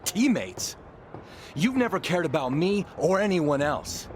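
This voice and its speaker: An animated, resentful wrestler teammate. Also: male voice, fairly high pitch, measured pace, a little hiss or hum. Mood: bitter. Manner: pointed, accusatory. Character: resentful male wrestler, overlooked teammate turning hard. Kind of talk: video game cutscene acting.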